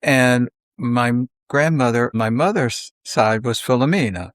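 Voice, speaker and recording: An older man reminisces conversationally, in halting, unhurried phrases, often acting out bits of dialogue. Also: medium pitch, no room sound, clean studio sound. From South Philadelphia, United States. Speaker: podcast guest.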